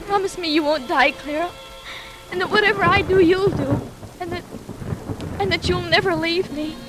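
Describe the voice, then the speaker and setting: Very high, plaintive clinging sister. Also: female voice, normal speed. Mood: pleading. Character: frightened, clinging, possessive orphaned younger sister. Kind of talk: 1940s radio drama acting. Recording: vintage radio recording with music behind.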